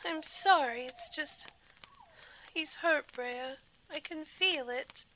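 A woman's voice: high voice, slow pace, sharp tone, exaggerated voice. A woman playing a worried, earnest young noblewoman in an audio drama, speaking anxiously.

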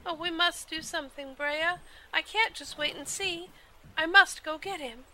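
A woman's voice: very high-pitched, moderate pace, bright, forceful tone, slight background noise, full character voice, rough recording. A woman playing a headstrong young noblewoman in an audio drama, with an earnest, emphatic delivery. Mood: urgent, worried. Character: headstrong young noblewoman, anxious for her twin brother.

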